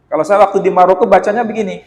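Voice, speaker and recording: An Indonesian man giving a religious lecture in a mosque, animated and teasing, with rhetorical questions. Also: high voice. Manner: chants Quran verses in a slow melodic recitation. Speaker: Islamic lecturer. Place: mosque hall. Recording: echoey mosque hall.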